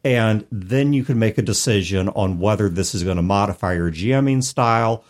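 A man's voice: fairly low pitch, moderate pace. A man hosting a podcast in a casual, conversational style, discussing and giving advice. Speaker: podcast host.

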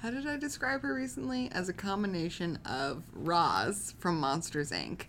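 A woman casually telling a story, animated and laughing, acting out what other people said. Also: lowish voice, normal speed, a little hiss or hum. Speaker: guest storyteller. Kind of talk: casual chat.

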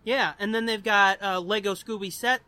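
A young American man with a notably high, bright voice, recorded close and dry. He hosts a podcast and talks informally, swearing freely as he rambles through personal anecdotes. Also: fairly even intonation, normal speed, clear, resonant tone, dry recording. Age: 20s. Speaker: podcast host. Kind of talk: casual chat, telling personal anecdotes.

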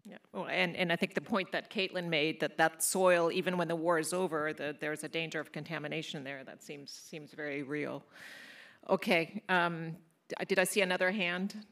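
A moderator at a policy seminar, briskly taking questions and handing them to panelists. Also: mid-range voice, fairly even intonation, a bit bright.

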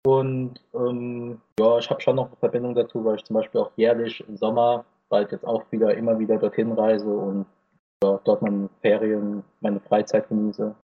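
A man's voice: fairly low pitch, monotone, moderate pace, breathy edge, narrow, tinny audio. A nineteen-year-old man from Thailand, a podcast guest chatting casually and hesitantly, with plenty of fillers. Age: teens. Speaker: podcast guest, anime YouTuber.

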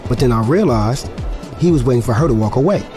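A guarded young pro football player played by a male actor tells his story as audio drama narration. He speaks at a middle pitch in a hesitant, candid, conversational way, as if confiding in the listener. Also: animated, quick pace, over music, close to an everyday voice. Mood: reflective, confiding.

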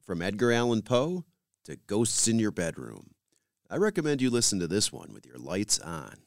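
Low-voiced American man hosting, lively intonation. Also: moderate pace, vocal fry, no echo, clean studio sound. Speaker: podcast host. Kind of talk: scripted podcast introduction.

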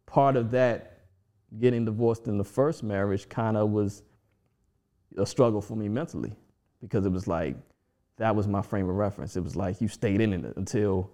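A man's voice: fairly low pitch, moderate pace, warm tone. A man in his forties talking casually and reflectively as a guest, with frequent restarts and fillers. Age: 40s. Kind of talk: casual conversation.

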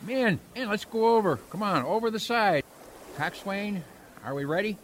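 Man acting as lively commanding wartime sergeant, noisy. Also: high voice, moderate pace. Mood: urgent. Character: WW2 Marine sergeant, commanding, brisk and gruff. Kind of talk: amateur radio war drama acting.

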